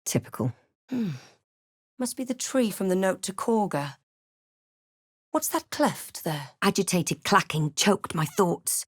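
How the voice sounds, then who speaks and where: A woman playing a wry, guarded adventurer hero in a fantasy game, speaking and narrating in a measured, understated, dryly sardonic way. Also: medium pitch, big pitch swings, husky, no room sound, studio quality, heavily acted. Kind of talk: scripted game voice lines, part first-person narration.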